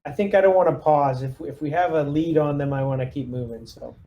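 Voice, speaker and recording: A man's voice: on the high side, brisk, dull audio. A man chatting casually as he plays squad leader in a tabletop roleplaying game.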